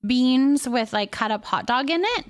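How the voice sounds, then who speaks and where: A woman's voice: high-pitched, lively intonation, moderate pace. A woman chatting casually and playfully as she hosts a livestream and responds to viewers' comments. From Canada. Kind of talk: casual chat, reading and answering viewer comments.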